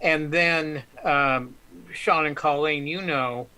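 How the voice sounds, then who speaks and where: Male author guest, bright and higher-pitched, casual rambling storyteller. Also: takes it slowly. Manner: rambling, many ums and restarts. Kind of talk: casual storytelling on a live stream.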